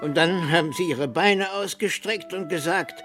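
A man's voice: on the high side, moderate pace, music in the background, close to an everyday voice. An actor in a radio drama playing a polite, earnest postman who speaks deferentially. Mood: matter-of-fact. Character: polite, apologetic male postman, matter-of-fact.

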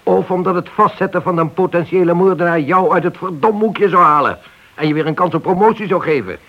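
Stern police inspector, muffled recording. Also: male voice, high voice, brisk. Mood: accusing. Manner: curt, accusing, commanding. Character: stern, suspicious, sarcastic male police inspector. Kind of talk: radio play acting. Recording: dull, slightly hissy old radio drama recording.

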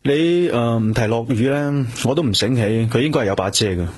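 An actor playing an earnest police detective in a radio drama, speaking Hong Kong Cantonese in a polite, hesitant, natural way. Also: male voice, medium pitch, brisk, darkish tone, natural voice. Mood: polite, probing. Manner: polite, hesitant, conversational questioning. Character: earnest male police detective, self-reproaching, gentle with companion. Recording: clear background noise with hum.

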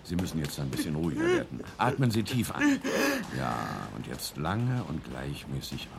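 An actor playing a calm, firm doctor in a German radio drama, measured and reassuring as he gives instructions. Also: rumbling low, heavily acted. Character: calm, firm male doctor soothing a patient's companion. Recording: clear background noise.

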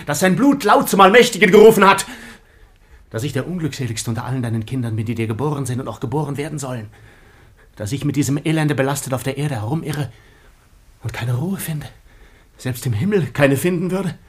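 A man's voice: medium pitch, expressive, brisk, natural voice. A man acting in a radio drama as a tormented, vengeful outcast son, bitter and intensely declamatory. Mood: anguished vengefulness.

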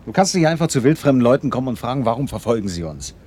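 A man's voice: medium pitch, very animated, rapid-fire, a little mellow, breathy edge. An actor in a German radio drama playing an irritable, evasive man who gives curt, grumbling, dismissive replies to his friend. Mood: irritated.

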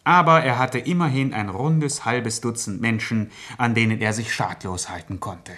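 A man's voice: medium pitch, normal speed, fairly bright tone. A man narrating a scripted radio play in a precise, dry, mock-documentary way. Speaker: second narrator.

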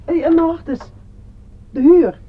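An actress playing a nosy, suspicious landlady in a radio drama, brisk and insinuating. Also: extremely high pitch. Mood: chatty, pressing. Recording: slightly dull radio play recording.

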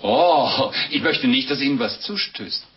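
An actor playing an anxious, wily monk in 1950s German radio drama, stammering, exclaiming and repeating himself. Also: male voice, mid-range voice, animated, measured pace, slight background noise. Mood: anxious pleading. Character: anxious, wily male monk fearing for his life. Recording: muffled vintage radio recording with music.

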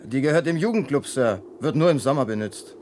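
Man playing obedient constable, deferential, mid-range voice, vintage radio drama, clear outdoor background noise. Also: medium pitch, expressive, fairly quick, a little mellow, lightly acted. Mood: dutiful. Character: dutiful, deferential male police constable. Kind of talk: 1970s German radio crime drama acting. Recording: noisy outdoor scene with birds.

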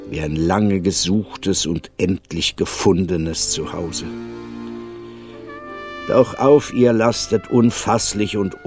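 An actor narrating a radio play as a grave, lyrical storyteller who speaks with solemn, deliberate rhetoric. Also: male voice, fairly low pitch, darkish tone. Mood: lyrical, ominous. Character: grave male storyteller lamenting his beautiful town's misery.

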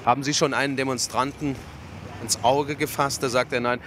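A man giving an on-location radio news report in measured, separate phrases. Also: mid-range voice, steady tone. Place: on location outside a theatre. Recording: loud street noise, traffic behind.

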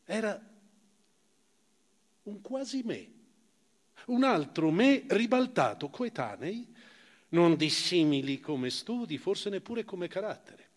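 A man with a fairly high voice reads literary prose dramatically, as a first-person account. His delivery is theatrical, stressing key words and letting phrase endings sink to a hush. Long reverb places him on a stage in a hall. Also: sing-song, slow pace, long pauses. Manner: theatrical, with emphatic rises and hushed phrase endings. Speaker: actor reading literature on stage.

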